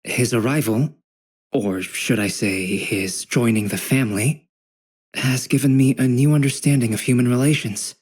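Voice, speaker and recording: A man voicing a calm, scholarly young alchemist in a video game, speaking in a measured, reflective and softly formal way. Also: medium pitch, breathy edge, close to an everyday voice. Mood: thoughtful, fond. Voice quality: gentle, calm. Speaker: voice actor playing a video game character. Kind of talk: scripted game character voice lines.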